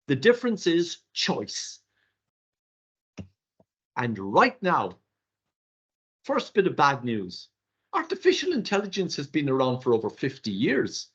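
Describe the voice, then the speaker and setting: An older Irish man whose first language is Irish Gaelic gives a webinar workshop in an animated, rhetorical way, with theatrical asides, heard over a video call. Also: a little high, slightly rough. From Ireland. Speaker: workshop presenter. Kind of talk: webinar lecture. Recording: dull lo-fi webinar audio.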